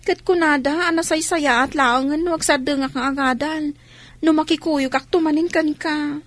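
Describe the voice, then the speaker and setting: A woman plays an earnest young schoolgirl sweetheart in an Ilocano radio drama. Her voice is high and youthful, with an Ilocano accent and a dutiful, sincere manner. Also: fairly even intonation, moderate pace, no room sound, natural voice. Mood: worried. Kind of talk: Philippine radio drama acting.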